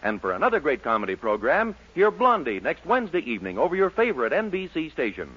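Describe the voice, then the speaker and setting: A man's voice: on the high side, huge pitch swings, fast, slightly rough. An American man announcing on a 1940s radio show with polished, emphatic scripted salesmanship. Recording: muffled 1940s radio broadcast recording.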